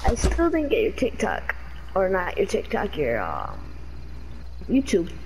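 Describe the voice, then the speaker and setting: A young woman chatting casually while playing an online game, excitable and chatty, her voice leaping into high yelps when danger comes. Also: a little slow. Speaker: gamer streaming her play. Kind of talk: casual gaming chat. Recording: game audio noise behind.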